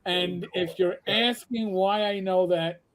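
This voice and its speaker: A middle-aged man with a New York accent, telling animated stories with swearing in a casual chat. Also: high-pitched, takes it slowly. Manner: animated storyteller, swears, acts out others' voices. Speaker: co-host. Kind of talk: casual chat on a live stream.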